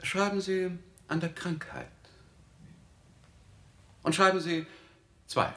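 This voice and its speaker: A man's voice: high-pitched, little pitch movement, slow, pushed and bright, full character voice. An actor playing a pompous, vain newspaper publisher dictating to his clerk in a 1960s German radio play. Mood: officious, smug. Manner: pompous, clipped dictating, ironic.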